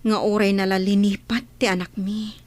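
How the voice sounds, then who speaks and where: Young single mother played by a woman, mid-pitched, wistful but quietly determined, soft radio drama delivery. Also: little pitch movement, normal speed, natural voice.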